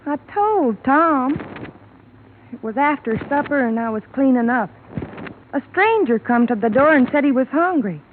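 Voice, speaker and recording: A woman's voice: sits high, lively intonation, moderate pace, narrow, tinny audio. An actress playing a shaken, reluctant ranch girl with a rural Western American accent in an old radio drama. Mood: reluctant, upset. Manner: plain, reluctant. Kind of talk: 1950s radio drama acting. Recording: noisy, band-limited vintage radio broadcast.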